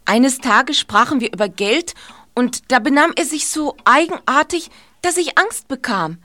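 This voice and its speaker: A woman's voice: high-pitched, lively intonation, a little slow, bright, forceful tone, dry recording, exaggerated voice. A woman in a radio play acting a shaken but plucky innkeeper who speaks earnestly and carefully.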